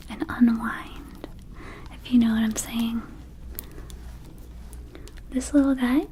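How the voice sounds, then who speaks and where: Friendly, scatterbrained female vampire shopkeeper acted by a woman, ASMR roleplay; soft mellow whisper, slow and hesitant. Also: on the high side, husky, exaggerated voice. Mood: confiding, soothing. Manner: slow, hesitant, casual, confiding. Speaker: ASMR performer.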